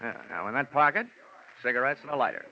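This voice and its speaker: An actor playing a cocky, wisecracking American insurance investigator, wry and theatrical, in a 1940s radio detective drama. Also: male voice, medium pitch, animated, quick pace, close to an everyday voice. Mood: cocky, sardonic. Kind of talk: 1940s radio acting, first-person detective narration. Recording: dull old radio recording.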